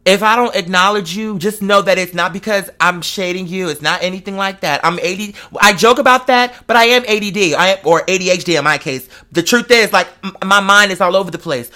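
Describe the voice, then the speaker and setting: High, bright-voiced man, emphatic and slangy. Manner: rambling, digressive. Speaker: online show host. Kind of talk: casual confessional chat to followers.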